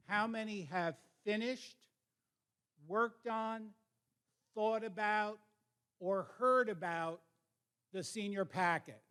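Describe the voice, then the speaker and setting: A man's voice: high voice, fairly bright tone, smooth. A man presenting as a school counselor, informal but direct and instructive as he addresses students and parents. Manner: direct, instructive, questions the audience. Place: school meeting with an audience.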